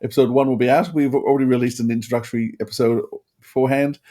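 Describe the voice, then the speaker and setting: A man with an average-pitched voice co-hosts a podcast. He speaks casually and enthusiastically, like a keen fan in conversation. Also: fairly even intonation, racing, warm tone. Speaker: podcast co-host. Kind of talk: casual chat.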